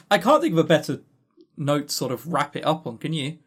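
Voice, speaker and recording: A man co-hosting a podcast, chatting casually and opinionatedly about games in a halting, animated way. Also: a little high, husky. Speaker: podcast co-host.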